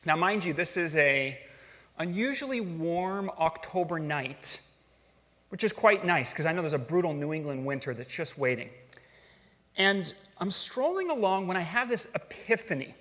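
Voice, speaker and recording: Middle-aged man, fairly high voice, casual storytelling on stage, long hall reverb. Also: big pitch swings, moderate pace, bright, forceful tone. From grew up in Switzerland and California. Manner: conversational, self-deprecating, asks the audience questions. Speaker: speaker giving a talk.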